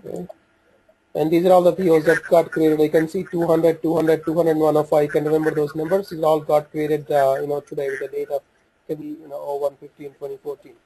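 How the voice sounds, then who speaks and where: A man presenting an informal software walkthrough, talking haltingly as he thinks aloud while working through the screens. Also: fairly high pitch, completely flat delivery, husky, no room sound, poor audio.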